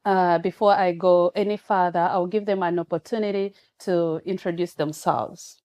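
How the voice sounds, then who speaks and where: A woman hosting an online talk show, making slow, repeated appeals to her viewers. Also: on the low side, fairly even intonation, a little mellow.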